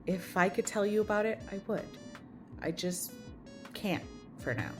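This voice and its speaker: Woman playing a slow-talking, frank young friend. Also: medium pitch, expressive, over music, close to an everyday voice. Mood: teasing, reassuring. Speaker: voice actor in an audio drama. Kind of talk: audio drama acting.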